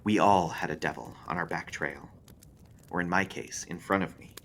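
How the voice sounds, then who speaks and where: A man reading a creepy story aloud as an expressive scripted narration. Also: low voice, moderate pace, a little hiss or hum.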